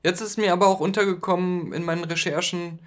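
A man's voice: sits high, fairly even intonation, quick pace. A man co-hosting a casual comedy podcast, joking and often laughing as he reads posts aloud. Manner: jokey, giggly, reads forum posts aloud. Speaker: podcast co-host.

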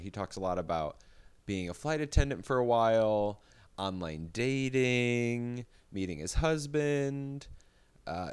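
A man's voice: lowish voice, smooth. A man hosting a podcast who speaks earnestly and reflectively. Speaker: podcast host. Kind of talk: podcast intro and casual chat.

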